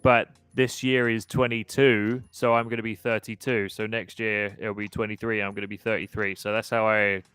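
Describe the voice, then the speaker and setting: A man in his thirties hosting a casual podcast livestream, easygoing and quick to laugh as he chats and reads out viewer comments. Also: on the low side, flat delivery, a bit bright, smooth, no echo. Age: 30s. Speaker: podcast host.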